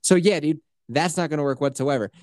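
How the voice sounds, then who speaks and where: A man's voice: fairly high pitch, a little fast, no room sound. Guy hosting an advice podcast, blunt and crude, dry close sound. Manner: blunt, crude, animated storytelling. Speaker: podcast host. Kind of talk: casual advice podcast chat.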